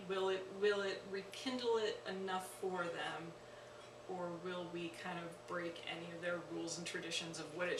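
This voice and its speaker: An echoey recording catches a woman some way from the mic, with clear ambient noise. She speaks at a medium pitch. Her interview answers are thoughtful and tentative. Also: distant mic. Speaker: theatre cast member.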